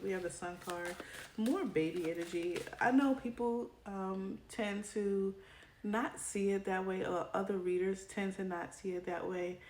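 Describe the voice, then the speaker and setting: A woman's voice: medium pitch, a little mellow. A woman giving a casual tarot reading straight to her viewers, her voice tiring as she comes down with a cold. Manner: chatty, addresses viewer directly with frequent "right?". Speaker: tarot reader. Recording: echoey room with card shuffling noise. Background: clicks and shuffling cards.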